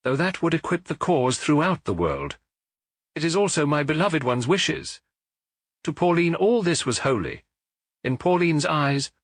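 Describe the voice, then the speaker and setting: A man's voice: a little high, sing-song, a little slow, no echo, clean studio sound, pitched above the performer's own voice, full character voice. A man voicing a grandiose, furious American charlatan prophet in an audiobook detective story, ranting shrilly. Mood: wounded, lofty. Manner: ranting, indignant, bombastic.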